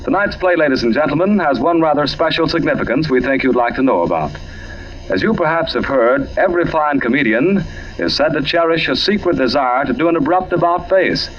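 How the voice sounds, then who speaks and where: High-voiced, grandiose male radio announcer. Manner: polished, grandiose, emphatic. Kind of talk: formal 1940s broadcast announcing. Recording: muffled 1940s radio recording.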